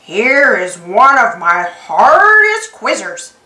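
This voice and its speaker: A woman's voice: a little high, sing-song, takes it slowly, bright, forceful tone, voice pushed down, heavily acted. Lady doing a grumpy old storybook troll guy, drags words out, teasing, roomy sound. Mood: grumpy, challenging. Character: grumpy old male troll posing riddles.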